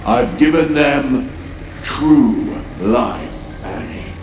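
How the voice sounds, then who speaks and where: A man's voice: medium pitch, lively intonation, very slow, heavy background noise. An actor playing a menacing possessed man merged with an alien horror, taunting and grandiose, in a sci-fi horror audio drama. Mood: zealous, ominous. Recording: roomy echo over music.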